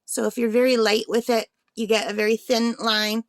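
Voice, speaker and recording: A woman's voice: on the high side, flat delivery, normal speed, no echo, clean studio sound. A woman hosting a craft unboxing vlog in a casual, chatty way, thinking aloud, with a slightly tired, under-the-weather voice. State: slightly unwell, voice tiring. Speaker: craft channel host.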